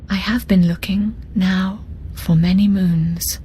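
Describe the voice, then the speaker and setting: An actress playing a proud, formal woman navigator in a video game, speaking in a breathy, whispery way without contractions. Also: mid-range voice, fairly even intonation, slow, dark tone, slight background noise, natural voice. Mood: earnest.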